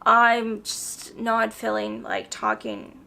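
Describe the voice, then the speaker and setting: A woman talking through her reading in a relaxed vlog from home, her voice fraying as she loses it. Her tone is bright and gravelly with plenty of fry, pitched a bit high, and she fills her sentences with "like" and "um". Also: slow. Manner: chatty, with frequent "like" and "um". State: losing her voice. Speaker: book vlogger. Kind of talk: casual book review vlog. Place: home apartment.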